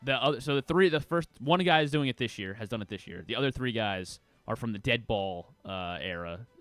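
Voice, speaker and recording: A man giving a casual sports news recap as co-host, halting and often correcting himself. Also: mid-range voice, a bit bright, no room sound, faint music behind. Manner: hesitant, halting, self-correcting. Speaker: sports show co-host reading the week's news.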